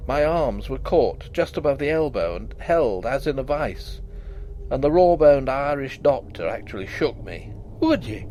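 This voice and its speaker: A man's voice: medium pitch, expressive, unhurried, warm tone, slight background noise, low-quality recording. A man reading a gothic ghost story aloud with dramatic, suspenseful delivery.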